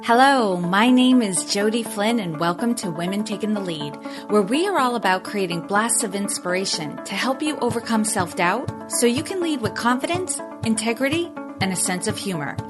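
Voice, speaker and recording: A woman's voice: mid-range voice, animated, moderate pace, music in the background. A woman hosting a podcast, reading upbeat scripted introductions and interviewing her guest. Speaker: podcast host.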